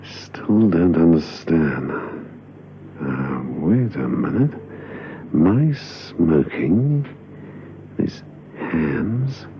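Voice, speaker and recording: An actor playing a thoughtful gentleman spy who muses aloud in halting phrases in a radio drama. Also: deep voice, heavily acted. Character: pensive male gentleman spy puzzling out clues. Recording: muffled old radio recording, faint noise.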